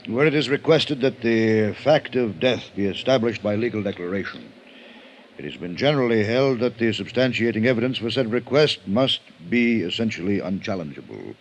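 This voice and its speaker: An actor playing a measured, authoritative male judge with formal, deliberate phrasing in a vintage radio drama. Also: on the low side, rough recording. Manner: deliberate, pompous legal phrasing. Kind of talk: 1950s radio drama acting. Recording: vintage radio recording, faint noise.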